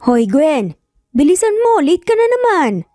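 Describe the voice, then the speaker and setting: A woman voicing a nagging, scolding older neighbour woman, loud and hurrying, in a narrated story. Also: high-pitched, big pitch swings, unhurried, dry recording, studio quality, faint music behind, voice pushed up.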